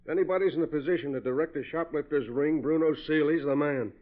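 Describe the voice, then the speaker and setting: A man's voice: fairly high pitch, fast, lightly acted. Plain-spoken American radio cop played by a man, tinny. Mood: keen. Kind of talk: 1940s radio drama acting. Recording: tinny, band-limited old radio recording.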